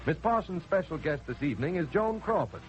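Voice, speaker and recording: 1940s radio announcer, American man, swooping intonation, raised pitch, emphatic formal delivery, old noisy broadcast recording. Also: expressive, fairly quick, dull audio. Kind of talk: 1940s radio announcing, scripted sponsor introduction.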